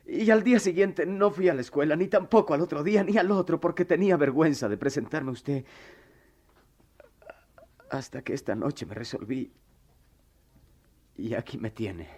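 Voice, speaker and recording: Troubled young student, animated pitch. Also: male voice. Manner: dramatic, heartfelt delivery. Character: troubled, remorseful poor young student, devoted son. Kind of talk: radio drama acting and first-person narration.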